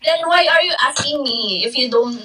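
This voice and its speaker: A woman's voice: high-pitched, normal speed, pushed and bright, recorded from a distance, slight background noise. A woman from the Philippines, teasing and playful on a casual video call.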